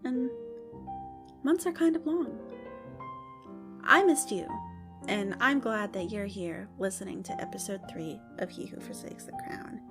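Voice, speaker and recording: A woman's voice: medium pitch, unhurried, long pauses. A woman hosting a podcast, speaking casually and playfully to listeners as if to friends. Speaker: podcast host. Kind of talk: casual, chatty audio drama outro. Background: prominent music.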